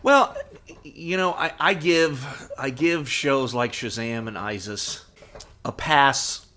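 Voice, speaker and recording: Bright-voiced man in his fifties, podcast co-host. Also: medium pitch, takes it slowly. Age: 50s. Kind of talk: casual reminiscing fan chat.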